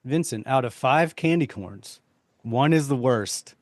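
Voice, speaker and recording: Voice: medium pitch, lively intonation, a little slow. A podcast host chatting casually, explaining a rating scale loosely and repeating themselves in an easygoing way. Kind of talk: casual chat.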